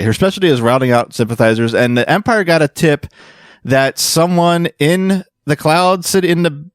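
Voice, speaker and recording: A man's voice: mid-range voice, no room sound, clean studio sound. A man hosting a podcast, explaining his research in casual, informal chat with a bit of swearing. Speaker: podcast host presenting research.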